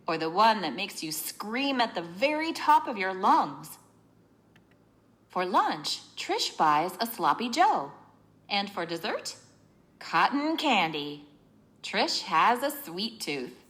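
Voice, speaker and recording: A woman reading a children's book aloud in an expressive, teacherly way, explaining as she narrates. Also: mid-range voice.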